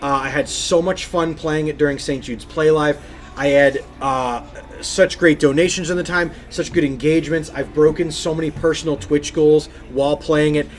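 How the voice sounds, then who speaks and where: Emphatic, higher-pitched man on a gaming podcast. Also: steady tone, normal speed. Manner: emphatic, effusive, repeats phrases for stress. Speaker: podcast co-host. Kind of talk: casual video game podcast chat.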